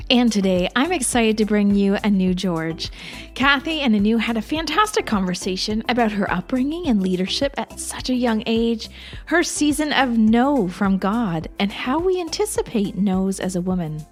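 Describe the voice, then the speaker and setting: A woman hosts a podcast, delivering a scripted introduction. Her voice sits on the higher side, and music plays clearly behind her. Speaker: podcast host.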